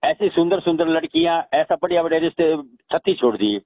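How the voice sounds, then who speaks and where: A man's voice: on the high side, lively intonation, a little fast, dry recording. A middle-aged man with a Haryanvi accent, arguing insistently on a recorded phone call and repeating himself to be heard. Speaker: father-in-law in a family dispute. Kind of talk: heated phone conversation. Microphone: telephone.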